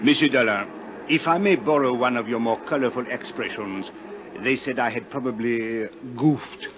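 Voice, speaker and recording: A man's voice: mid-range voice, moderate pace, lightly acted. Guy doing a french accent customs inspector, super polite and formal, old radio play, muffled with a crowd behind. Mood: dryly courteous. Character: courteous, dryly self-deprecating male customs police inspector. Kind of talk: 1950s radio drama acting. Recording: dull 1950s radio recording, crowd behind.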